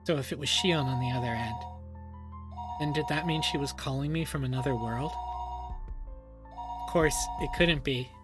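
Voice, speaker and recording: A man streaming a dramatic first-person reading of a story, pausing and repeating phrases for suspense. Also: mid-range voice. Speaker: streamer reading a visual novel aloud. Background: music.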